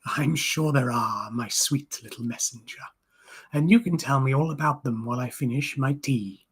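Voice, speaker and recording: A woman voicing an ancient, wry and commanding female crone creature in a storybook reading, with a raspy voice and a slow, low, knowing manner. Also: exaggerated voice. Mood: indulgent.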